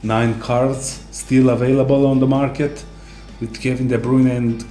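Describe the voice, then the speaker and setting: A man co-hosting a casual sports discussion, speaking haltingly with non-native English phrasing. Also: mid-range voice, little pitch movement, mellow. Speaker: co-host. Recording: roomy echo, music underneath.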